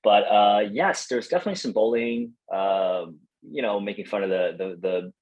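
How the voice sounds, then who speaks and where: A man's voice: on the low side, moderate pace, darkish tone, dull audio. A young man from Taiwan, a podcast guest, chatting casually and in a friendly way, with lots of fillers and restarts. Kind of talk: casual interview chat.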